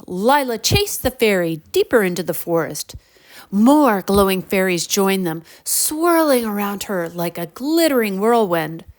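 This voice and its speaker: A woman narrating a children's bedtime story in an expressive, deliberate storybook manner. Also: medium pitch.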